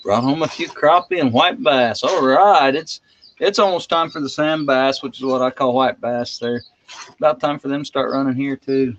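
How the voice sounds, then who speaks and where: A man's voice: medium pitch, moderate pace, a bit bright, highs cut off, a little hiss or hum. A man chatting casually on a farm livestream, explaining milking and fishing. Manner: easygoing, explanatory, chuckling. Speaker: homesteading livestream co-host. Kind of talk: casual live-stream chat. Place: outdoors on a farm, milking a cow.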